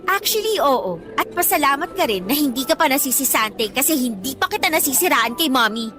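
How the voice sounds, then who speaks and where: A high-voiced, bratty teenage schoolgirl. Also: sing-song, brisk, sharp tone, soft background music, exaggerated voice. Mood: smug, scornful. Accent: Filipino. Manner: bratty, defiant, sneering. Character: insolent, spoiled teenage schoolgirl talking back. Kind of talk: Tagalog radio drama acting.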